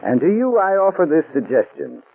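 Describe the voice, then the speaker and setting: A folksy old-timey salesman, lively up and down voice, tinny radio sound. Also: moderate pace, full character voice. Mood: cordial. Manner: folksy, warm-hearted sales pitch. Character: friendly male heating expert giving greeting. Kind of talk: 1940s radio ad. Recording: old radio broadcast, thin band.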